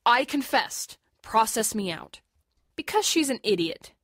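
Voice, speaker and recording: A slightly high-voiced, sardonic young woman played by an actress in an audio drama, a defiant and protective med student. She talks bluntly and with intensity, recorded close and dry. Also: very animated, measured pace, fairly bright tone, dry recording, full character voice. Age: young adult. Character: defiant, sarcastic, fiercely protective young female medical student.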